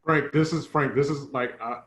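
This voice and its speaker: A man playing a hapless, sentimental car lover with halting, plaintive exclamations in an improvised comedy radio play. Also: medium pitch, fairly even intonation, fairly bright tone, natural voice. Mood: sentimental, hesitant. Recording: roomy echo, faint noise.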